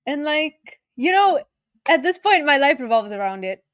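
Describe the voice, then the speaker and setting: A woman's voice: high-pitched, very animated, fairly bright tone, no echo, clean studio sound. An eighteen-year-old woman from South Africa co-hosting a podcast, chatting casually with lots of "like". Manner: chatty, lots of "like", quick laughs. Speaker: podcast co-host. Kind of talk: casual chat.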